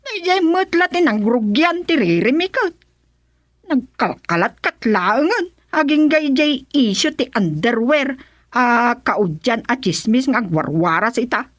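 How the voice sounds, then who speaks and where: A woman's voice: fairly high pitch, big pitch swings, unhurried, dry recording, studio quality. A woman playing an indignant villager who complains insistently in a comedic radio drama. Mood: aggrieved.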